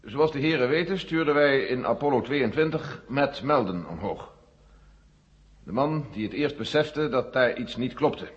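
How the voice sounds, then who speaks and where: A long hall echo and muffled old tape carry a man acting a general of middling pitch. He is sober, frank and authoritative, speaking formally and with measure, as in a 1970 radio play. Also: lively intonation, unhurried, lightly acted. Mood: grave, resigned. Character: frank, sober American general leading a space agency. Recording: dull 1970 radio recording, echoing hall.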